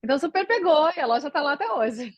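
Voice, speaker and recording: A woman's voice: high-pitched, big pitch swings, quick pace, no room sound, dull audio. A woman from Brazil, a podcast guest, chatting casually and rambling with many fillers and restarts. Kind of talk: casual interview chat.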